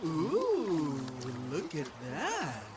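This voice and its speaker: Audio drama casting: alluring forest fey, extremely slow, dramatically swooping, loud noise behind. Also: female voice, sits low, wildly swooping pitch, heavily acted. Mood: intrigued, beckoning.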